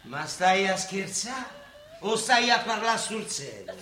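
A man's voice: high voice, sing-song, slow, bright, forceful tone, exaggerated voice. A man playing an exasperated, sarcastic middle-aged working father with a Roman accent, ranting in dialect stage comedy style. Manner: ranting, sarcastic rhetorical questions. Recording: roomy, distant stage recording with audience noise.

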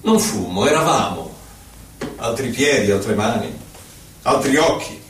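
An actor playing a melancholy, haunted poet who recalls his life in solemn, deliberate theatrical verse with dramatic pauses. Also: lowish voice, very animated, slight background noise. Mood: somber, grieving. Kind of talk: theatrical poetry recitation. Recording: echoey hall from far off, faint music. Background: faint music, violin.

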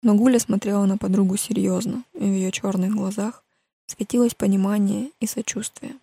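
A woman's voice: mid-range voice, steady tone, normal speed, vocal fry, dark tone, slightly rough, dry recording, studio quality. A woman reading a scripted story aloud as an audiobook narrator. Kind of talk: scripted fiction narration with acted dialogue.

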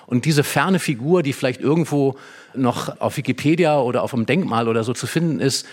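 A man speaking as an expert guest in a stage conversation, explaining reflectively and choosing phrases carefully with pauses. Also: medium pitch. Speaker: expert guest, dramaturg and writer. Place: hall with a live audience.

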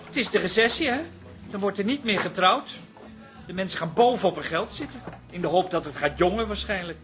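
A man's voice: high-pitched, expressive, fairly quick, a bit bright. A man playing an aggrieved, self-important wedding photographer in a radio comedy, given to complaining. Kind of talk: radio comedy drama acting.

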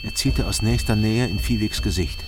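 A male actor as a downcast East German investigator, telling his own story in a radio crime play. His voice is fairly low, sober and clipped in phrasing, with clear music underneath. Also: normal speed, lightly acted, rough recording. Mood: sober, terse. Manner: sober, terse, restrained. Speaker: narrator and protagonist. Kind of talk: terse scripted first-person crime narration and dialogue.

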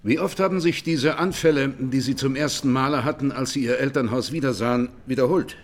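An actor playing a methodical presiding judge in a radio courtroom drama, questioning formally and insistently. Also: male voice, mid-range voice, fairly quick, lightly acted. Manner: formal, terse, probing.

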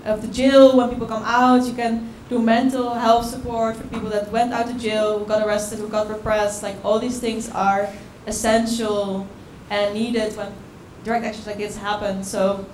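A woman speaks at a panel event in a casual, chatty way, with many filler words. Her pitch stays flat. Her voice rings through an echoey hall with some background noise. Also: moderate pace, hardly any pauses. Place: event venue.

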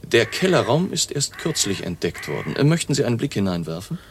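An eerie baron steeped in vampire lore for a radio drama. His voice is low and full of creak. He speaks with measured, ominous gravity, over faint background ambience. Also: very animated, a little hiss or hum. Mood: grave, matter-of-fact. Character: baron, eerie learned vampire researcher, grave and deliberate.

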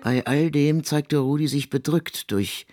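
An actor playing a reserved composer, recounting events in a composed, formal literary style in a radio play. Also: male voice, on the high side, measured pace, over music, lightly acted. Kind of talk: literary first-person narration. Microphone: close studio microphone.

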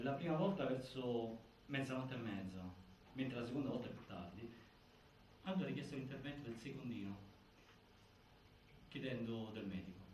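A man's voice: medium pitch, close to an everyday voice. Grave male actor as narrator, very echoey. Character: grave male narrator laying out a prison-death inquiry. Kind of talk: dramatic scripted stage narration. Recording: very echoey, distant, faint noise.

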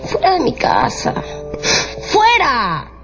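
Volatile young courtesan, theatrical, high pitch, radio drama, dull sound over music. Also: female voice, wildly swooping pitch, takes it slowly, full character voice. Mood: scornful, fed up. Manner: theatrical, impassioned delivery. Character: volatile, capricious young courtesan, pleading then scornful.

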